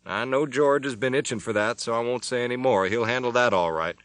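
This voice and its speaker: A man's voice: medium pitch, brisk, fairly bright tone, no room sound, voice pushed up, close to an everyday voice. A man voicing a commanding airline captain who gives step-by-step radio instructions. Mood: instructing. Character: middle-aged airline captain, firm and commanding. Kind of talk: audiobook character voice.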